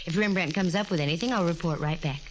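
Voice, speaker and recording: Through clear hiss on a 1949 radio recording comes an actress as a wisecracking female private eye with a fairly low voice. She is confident and flirtatious, tossing off wry, teasing lines in classic radio-play style. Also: quick pace, natural voice. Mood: brisk. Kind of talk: 1940s radio drama acting.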